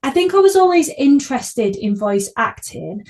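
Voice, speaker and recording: High-voiced young woman with a Manchester accent. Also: very animated, moderate pace, darkish tone. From Manchester, England. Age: young adult. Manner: effusive, chatty. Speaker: podcast host. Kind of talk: casual interview chat.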